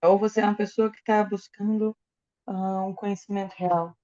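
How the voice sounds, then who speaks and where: Mellow-voiced woman interpreting with hesitations. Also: medium pitch, little pitch movement, normal speed, highs cut off. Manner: hesitant, with fillers and self-corrections. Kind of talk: consecutive interpreting into Portuguese.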